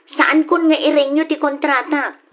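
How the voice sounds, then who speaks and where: A woman's voice: sits high, steady tone, moderate pace, a bit bright, muffled recording. A woman playing a dutiful but headstrong daughter working overseas in a radio drama, with an Ilocano Filipino accent. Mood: defensive.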